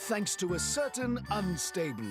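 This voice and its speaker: A man's voice: sits high, big pitch swings, a little slow, loud music behind the voice. An actor playing a bombastic, self-important young swordsman villain in a video game cutscene, with a pompous, theatrical manner. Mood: grandly menacing.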